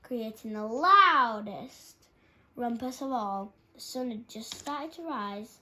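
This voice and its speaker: A woman's voice: fairly high pitch, lively intonation, slow, bright, forceful tone. A woman reading a children's storybook aloud as its narrator. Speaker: storybook reader. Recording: clearly noisy background.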